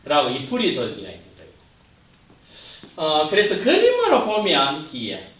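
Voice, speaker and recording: Male lecturer, lively pitch, muffled distant room recording. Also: moderate pace, roomy sound. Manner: points at slides, trails off at phrase ends. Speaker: anatomy lecturer. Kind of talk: classroom lecture.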